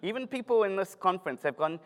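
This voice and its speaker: A man's voice: sits high, animated, fast talker, fairly bright tone. A middle-aged man with a South African accent giving a self-deprecating, joking conference talk. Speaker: conference speaker. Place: conference hall. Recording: long hall reverb.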